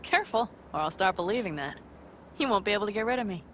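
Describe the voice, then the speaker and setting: A woman's voice: medium pitch, lively intonation, fast, fairly bright tone, slight background noise, natural voice. An actress playing a blunt, determined nomad woman in a video game, assertive and matter-of-fact. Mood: earnest, wry.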